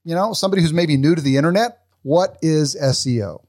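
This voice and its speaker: A man's voice: fairly high pitch, quick pace, a little mellow. A man who hosts a podcast, reading a scripted intro and then chatting with a guest in a friendly, upbeat way. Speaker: podcast host. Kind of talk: conversational podcast interview.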